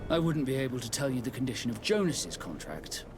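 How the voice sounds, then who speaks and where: An actor playing a stern, proud sea captain in a video game, speaking in a terse, measured, deliberate way. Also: male voice, medium pitch, sing-song, music in the background, natural voice. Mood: proud, insistent. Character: stern, proud male sea captain, fiercely loyal to crew. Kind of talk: dramatic video game dialogue.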